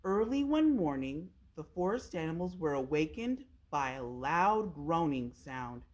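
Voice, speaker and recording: Animated woman reading a children's story. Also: low-pitched, a little slow. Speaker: storytime reader. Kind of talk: children's storybook read-aloud narration.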